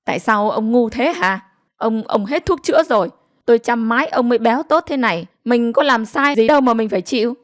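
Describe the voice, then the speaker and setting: A woman voicing a sharp-tongued, fiercely protective village wife in an audio story, with a scolding, cursing manner. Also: a little high, animated, fairly quick, dry recording, clean studio sound, voice pushed up, close to an everyday voice. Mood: exasperated, tearful.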